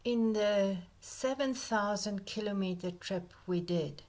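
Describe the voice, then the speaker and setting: A woman reads a scripted voice-over at an unhurried, drawn-out pace. Her delivery is gentle and reflective, slipping now and then into soft, breathy near-whispers. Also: mid-range voice. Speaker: narrator of a birding slideshow. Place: voice-over recorded apart from the pictured outdoor scenes.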